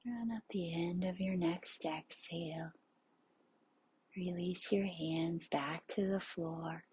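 Female meditation guide, lowish pitch, extremely slow delivery, hushed and airy, soothing, with spacious pauses. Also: fairly even intonation, rough recording. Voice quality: breathy, hushed, often near a whisper. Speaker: yoga nidra instructor.

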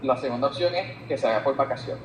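A man with a Puerto Rican Spanish accent explaining as an expert guest in an interview, haltingly repeating words as he reasons. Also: mid-range voice, dull audio. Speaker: guest expert on labor law. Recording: echoey room with some background noise.

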